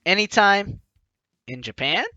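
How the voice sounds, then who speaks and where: A woman's voice: mid-range voice, lively intonation, a little slow, sharp tone, no echo, dull audio, faint music behind. A woman hosting a gaming video, reading game text aloud with playful, theatrical asides and casual commentary.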